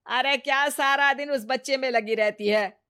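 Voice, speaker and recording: A woman's voice: sits high, normal speed, bright, forceful tone, clean tone, pitched above the performer's own voice. A woman voicing an older, complaining mother-in-law who scolds and grumbles in a story. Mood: scolding.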